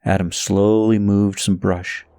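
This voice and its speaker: A man reading a novel aloud as scripted narration, telling the story in an expressive, dramatic way. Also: on the low side, slow pace, clear, resonant tone.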